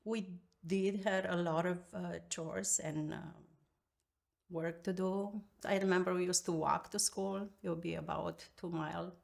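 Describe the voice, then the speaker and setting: A woman in her fifties from Romania with a Romanian accent, telling her life story as an interview guest in a halting, reflective way with many pauses. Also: on the low side, steady tone, gravelly.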